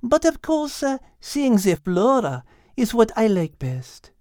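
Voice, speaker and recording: Elegant high-pitched French lady cat. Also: sing-song, slow pace, a little mellow, dry recording, clean studio sound. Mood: delighted. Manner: precise, enthusiastic explaining. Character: elegant female French cat, clever, botany-loving teacher. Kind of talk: children's audiobook character voice.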